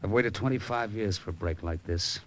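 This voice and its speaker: A man's voice: lowish voice, a little fast, close to an everyday voice. A male actor playing a tough, cynical American hard-boiled hero and narrator in a 1940s radio drama. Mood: stubborn cynical. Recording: old radio recording with music behind.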